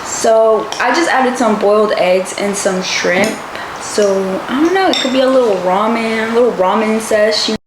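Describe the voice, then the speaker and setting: A woman's voice: on the high side, moderate pace. A woman in her twenties from the United States, chatting casually to camera as a channel co-host. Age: 20s. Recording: roomy echo, clear background noise.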